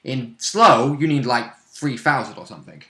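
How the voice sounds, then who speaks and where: Bright-voiced man chatting in an echoey room. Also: mid-range voice, lively intonation, normal speed. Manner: informal, conversational. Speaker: tabletop role-playing player. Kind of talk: casual chat. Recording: roomy, echoey room sound.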